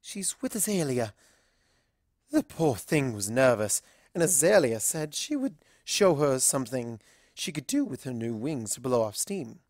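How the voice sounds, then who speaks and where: A woman's voice: rumbling low, sing-song, unhurried, a little mellow, dry recording, studio quality, heavily acted. A woman voicing a refined, easily embarrassed ladylike cartoon pony with genteel, delicate manners. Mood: polite, discreet. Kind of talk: acted fan fiction dialogue.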